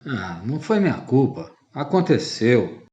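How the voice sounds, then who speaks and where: A slightly muffled, lo-fi recording of a cheeky middle-aged man played by an actor in a radio play. He acts innocent with lively, swinging intonation. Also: mid-range voice, measured pace. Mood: mock innocent. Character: cheeky middle-aged father, mock-innocent old friend. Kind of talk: radio drama acting. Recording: dull lo-fi voice recording.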